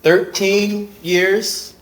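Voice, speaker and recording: An actor playing a smooth, mysterious male fencing rival who answers coyly and evasively, on a theater stage. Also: high voice, little pitch movement, drawn-out words, fairly bright tone, heavily acted. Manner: coy, evasive. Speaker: fencer. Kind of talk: stage play acting. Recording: roomy stage sound.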